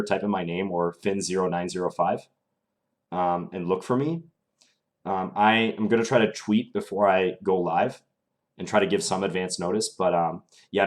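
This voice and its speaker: Deep-voiced man commentating chess live. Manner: relaxed, thinking aloud with many fillers. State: voice slightly strained. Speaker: chess streamer.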